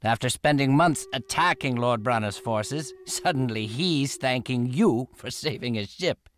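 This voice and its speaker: A man's voice: medium pitch, expressive, measured pace, a little hiss or hum, close to an everyday voice. A man playing a wry, teasing boat mechanic sidekick in a video game, with a playful delivery. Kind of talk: dramatic game cutscene acting.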